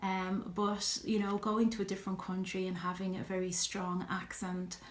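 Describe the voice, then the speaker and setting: A middle-aged woman with an Irish accent, talking reflectively in a personal vlog, pausing often with ums. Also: medium pitch, monotone, echoey room. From Ireland. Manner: reflective, earnest, many ums and you-knows. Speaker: vlogger.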